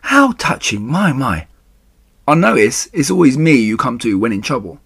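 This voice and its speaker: Guy doing a sulky storybook rat, growly and raspy, sarcastic, whiny about never getting thanks. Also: mid-range voice, sing-song, normal speed, a bit bright, no echo, clean studio sound, exaggerated voice. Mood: mocking, self-pitying. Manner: growling, mocking, complaining. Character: grumpy, sarcastic, self-pitying male rat. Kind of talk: children's storybook narration.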